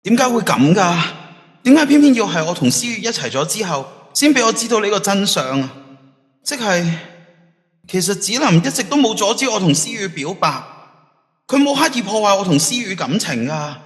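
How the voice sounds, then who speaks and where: An actor playing an earnest, lovesick young student in an audio drama, with a Hong Kong Cantonese accent. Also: male voice, high-pitched, sing-song, moderate pace, big-room sound. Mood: anguished, regretful. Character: lovesick young male student, sent back in time, earnest and anguished.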